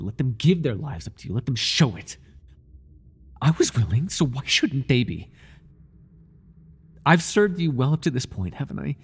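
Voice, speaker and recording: A man performs an obsessive high priest devoted to his god in an intimate audio roleplay. His voice is very breathy and rough, with lively, swooping intonation. He speaks in lofty, servile phrases, stammering and pleading with jealous devotion. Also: full character voice. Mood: insistent, jealous. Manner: servile and pleading, stammering, grandiose formal speech. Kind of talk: ASMR roleplay monologue, dramatic acting.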